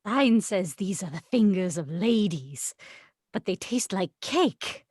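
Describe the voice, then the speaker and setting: A woman playing a dim, mild witch sister who gently contradicts in matter-of-fact, halting, archaic words, reading from a script. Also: medium pitch, expressive, breathy edge, no echo, clean studio sound. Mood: curious. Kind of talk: script table read.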